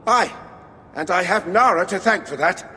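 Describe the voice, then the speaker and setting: An actor playing a friendly, plain-hearted dwarf animal trader in a video game, with a folksy storytelling manner. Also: male voice, high-pitched, normal speed, bright, forceful tone. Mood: wistful. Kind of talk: video game cutscene acting. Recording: long hall reverb.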